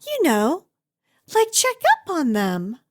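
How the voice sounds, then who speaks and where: A woman playing an obsessive, doting kidnapper in an ASMR roleplay, with a gushing, cutesy, halting and sweetly menacing delivery. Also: high-pitched, wildly swooping pitch, heavily acted. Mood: eager, scheming. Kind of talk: ASMR roleplay acting addressed to the listener.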